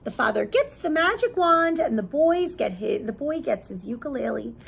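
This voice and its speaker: A woman reads a picture book to young children in a high, very animated voice. Her tone has a creaky, husky grain, and she explains things simply as she goes. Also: normal speed. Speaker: preschool teacher reading aloud.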